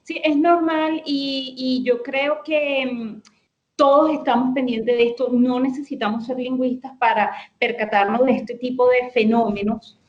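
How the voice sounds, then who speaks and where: A woman's voice: high voice, fairly even intonation, measured pace, darkish tone, dull audio. Thoughtful woman linguist, echoey room. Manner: thoughtful, with many hesitations and restarts. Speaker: guest lexicographer. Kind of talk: explanatory conversation.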